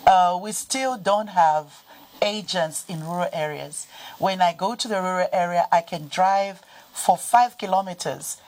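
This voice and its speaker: Woman, Zambian accent, lowish voice, measured, explanatory, TV studio guest. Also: a little mellow, low-quality recording. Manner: measured, explanatory, lists points in order. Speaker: guest from a financial development organization. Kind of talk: TV business talk show interview.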